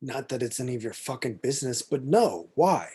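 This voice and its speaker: Man as curt passenger, very rough husky voice, annoyed, dry close recording. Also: mid-range voice, very animated, a little fast, dark tone, no echo. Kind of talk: table read of a film script.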